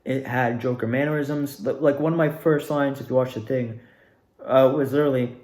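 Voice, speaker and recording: A man's voice: medium pitch, fairly even intonation, moderate pace, a little mellow. Echoey young man telling a rambling story. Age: young adult. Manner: rambling, repeats words for emphasis. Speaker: student actor recounting past performances. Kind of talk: casual storytelling monologue. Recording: echoey, reverberant room.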